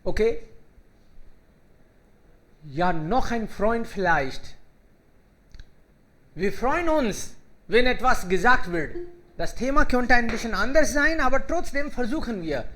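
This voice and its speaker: This is a man with a very high, bright voice who hosts a conversation club over a video call. He speaks in simple, halting sentences and pauses often to welcome the participants. His tone stays clear and bright throughout. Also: big pitch swings. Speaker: host of an online German conversation club. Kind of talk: casual group conversation in learner German.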